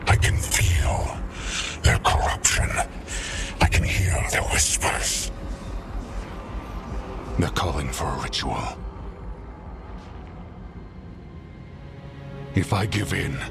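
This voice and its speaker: Very deep, gravelly, menacing warlord. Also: male voice, full character voice. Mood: grim, tormented. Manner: slow, theatrical, grandiose. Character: menacing male warlord conqueror, proud, possessive, cultured. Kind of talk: dramatic video game acting. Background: prominent background music.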